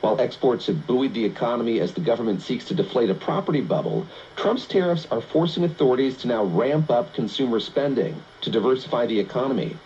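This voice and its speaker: A man's voice: mid-range voice, expressive, a little fast, hardly any pauses, a little mellow. A man hosting a radio news programme, asking questions and reading narration, heard through an AM receiver. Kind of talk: interview questions and scripted narration. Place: played through a shortwave receiver speaker. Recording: dull AM radio, faint static.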